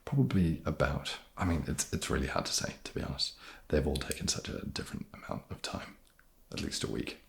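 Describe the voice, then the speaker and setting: A man chatting softly in an ASMR question-and-answer style, with a low gravelly voice and a hesitant, dry, self-deprecating manner. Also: expressive, brisk. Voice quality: low, gravelly, soft-spoken. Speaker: ASMR video creator and host. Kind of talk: soft-spoken ASMR question-and-answer chat.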